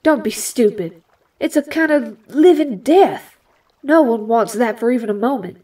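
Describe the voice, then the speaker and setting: A woman acts as a young Southern US mutant heroine in an audio drama. Her voice sits on the high side and slightly away from the mic. She is folksy and defiant, guarded and quick to flare. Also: expressive, mellow, far from the mic. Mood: bitter.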